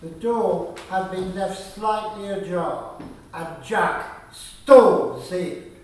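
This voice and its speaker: A man narrating a scripted radio play in a measured way. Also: high voice. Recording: echoey room, faint noise, distant mic.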